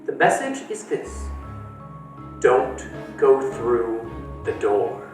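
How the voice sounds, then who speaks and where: An eccentric old man from a storybook, secretive and odd, his voice swinging between hushed and booming, as a man puts him on. It sounds roomy and echoey, far from the mic, with faint music. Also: sing-song, very slow. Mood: mysterious. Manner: secretive, whispering at times.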